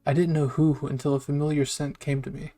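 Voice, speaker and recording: Higher-pitched man reading a story. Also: little pitch movement, fairly quick, mellow. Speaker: fan fiction narrator. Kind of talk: scripted story reading, audio roleplay.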